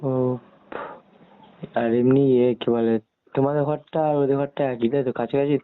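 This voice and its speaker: A young man, talking casually and haltingly on a private call, circling around his questions. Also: medium pitch, steady tone. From West Bengal, India. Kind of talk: casual private phone conversation. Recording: narrow tinny phone line with noise.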